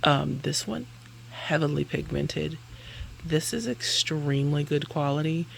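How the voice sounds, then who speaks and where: A woman's voice: deep voice, measured pace, creaky, slight background noise, rough recording. A woman chatting casually through a makeup review, rambling and correcting herself, laughing between sentences. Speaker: beauty vlogger.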